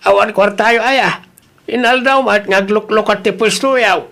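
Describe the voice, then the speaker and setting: Man acting high-voiced, grumbling old father. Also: steady tone, moderate pace, creaky, bright, forceful tone, exaggerated voice. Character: old father, complaining and nosy. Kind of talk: audio drama acting.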